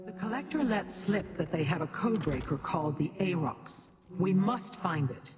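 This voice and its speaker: An actress playing a lofty otherworldly being in a fantasy video game, formal and condescending toward mortals. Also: female voice, on the low side, animated, moderate pace, music in the background, lightly acted. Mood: curious, imperious.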